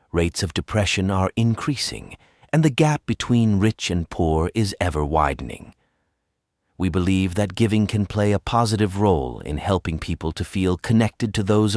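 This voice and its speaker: A man's voice: low-pitched, darkish tone, dry recording, clean studio sound. A man reading a scripted practice passage aloud. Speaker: exam practice reader.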